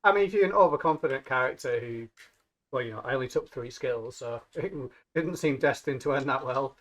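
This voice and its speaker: Man chatting at a tabletop game, mid-pitched. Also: brisk, fairly bright tone. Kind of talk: casual chat.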